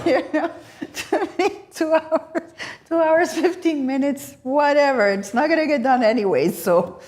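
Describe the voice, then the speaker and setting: A woman's voice: sits high, slow pace, darkish tone. A woman co-hosting a casual chat, informal and joking, often agreeing with her partner. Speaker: talk show co-host.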